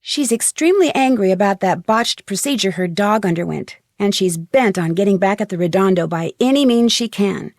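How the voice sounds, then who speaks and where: An actress playing a polite, inquisitive young female amateur detective in a video game, speaking earnestly and clearly. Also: mid-range voice, lively intonation, moderate pace, few pauses, darkish tone, no echo, clean studio sound, lightly acted. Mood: serious, confiding. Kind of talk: scripted video game dialogue.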